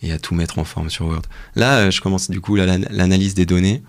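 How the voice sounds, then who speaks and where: A young man speaking as a researcher guest in a casual radio interview, explaining things in a halting way with frequent pauses. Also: deep voice, fairly even intonation. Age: young adult.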